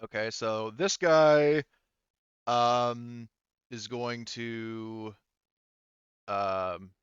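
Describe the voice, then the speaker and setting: A man refereeing a casual tabletop game as game master, halting and thinking aloud as he checks rules. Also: medium pitch, steady tone, clear, resonant tone, no room sound, highs cut off.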